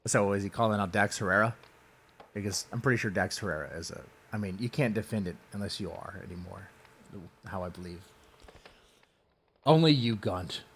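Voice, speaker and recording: A man's voice: lowish voice, brisk, pauses often, breathy edge. A man hosting mocking commentary in a sardonic, theatrical way. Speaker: host. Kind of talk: mocking commentary on a post he reads.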